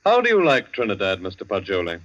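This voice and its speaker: Low-voiced, probing police chief, old radio. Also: male voice, wildly swooping pitch, quick pace, fairly bright tone, heavily acted. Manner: dry, insinuating questioning. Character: shrewd, skeptical colonial police chief, coolly probing. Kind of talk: 1940s radio acting. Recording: dull vintage 1940s radio recording.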